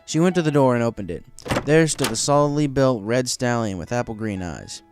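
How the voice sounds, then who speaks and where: Male storybook narrator, middle-pitched voice, scripted reading with music underneath. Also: lively intonation, fairly quick, a little mellow, clear, resonant tone.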